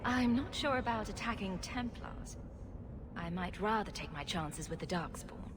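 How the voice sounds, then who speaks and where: A woman's voice: mid-range voice, expressive, moderate pace, noisy background, natural voice. An actress playing a self-assured, witty female adventurer with a cool, direct manner in a video game. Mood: wry. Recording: music clearly behind the voice.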